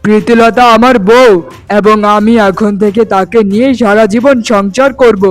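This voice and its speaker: A man's voice: high-pitched, steady tone, moderate pace, soft background music, lightly acted. A man voicing an earnest young husband in a cartoon melodrama, heartfelt, dramatic and insistent. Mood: defiant. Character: earnest, emotional young husband devoted to his bride.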